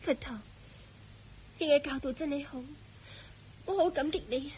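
An actress playing a sorrowful, humble young widow in a radio drama, plaintive and meek, with a Hong Kong Cantonese accent. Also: female voice, sits high, normal speed, darkish tone, a little hiss or hum, natural voice, poor audio. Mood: humble, grateful. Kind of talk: Buddhist radio drama acting.

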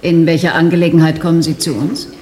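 Actress as a low-voiced, wry clinic doctor. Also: female voice, flat delivery, quick pace, dark tone, close to an everyday voice. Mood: cool, formal. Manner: formal, dryly wry. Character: cool, wry female clinic doctor, brisk and official. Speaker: actor in a radio play. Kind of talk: 1990s radio drama acting.

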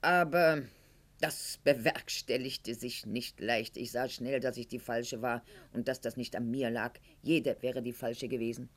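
Slow-speaking, theatrical, proud court lady. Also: a bass voice, fairly even intonation. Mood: wry, reflective. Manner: articulate, declamatory, ironic, with weighted pauses. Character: proud, wounded middle-aged aristocratic court lady of classical Weimar. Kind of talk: theatrical radio play monologue.

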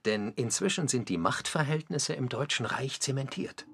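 A man's voice: medium pitch, lively intonation, normal speed, creaky, a bit bright, breathy edge, exaggerated voice. A man delivering scripted radio documentary narration.

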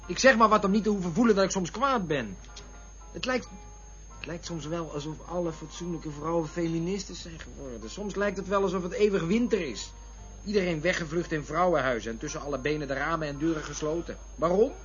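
A man's voice: fairly high pitch, brisk, a bit bright, natural voice. Male actor playing crude joking man, restless, rambling, bitter asides, radio drama, lo-fi sound, faint music. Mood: agitated, provocative. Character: restless, crude, joking man brooding over love and women. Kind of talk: radio play acting. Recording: dull lo-fi radio recording with music.

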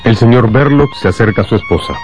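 A man narrating a scripted radio drama in a measured, dramatic storytelling manner. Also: mid-range voice, soft background music.

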